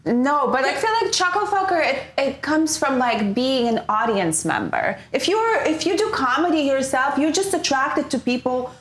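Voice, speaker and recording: A woman's voice: high-pitched, big pitch swings, moderate pace, few pauses, fairly bright tone, roomy sound. A young woman from Ukraine co-hosting a podcast as a comic, laughing often and arguing her points plainly with slightly non-native phrasing. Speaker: podcast co-host and stand-up comic. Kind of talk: casual chat.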